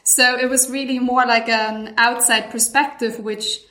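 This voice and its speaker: A woman's voice: fairly high pitch, steady tone, a little slow, pushed and bright. A woman of about thirty from Germany, chatting casually with many fillers. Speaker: panel guest. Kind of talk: casual conversational discussion. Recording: roomy, echoey sound.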